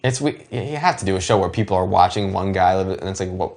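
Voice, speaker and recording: A man's voice: low voice, fast, a little mellow. A man co-hosting a show, talking casually in a group commentary on the show's own work. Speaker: show co-host.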